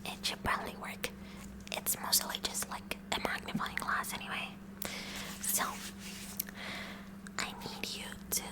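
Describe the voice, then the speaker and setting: A woman playing a kooky, curious high school science club president in a whispered ASMR roleplay, softly and hesitantly chatty. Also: natural voice, low-quality recording. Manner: soft, hesitant, inquisitive, chatty.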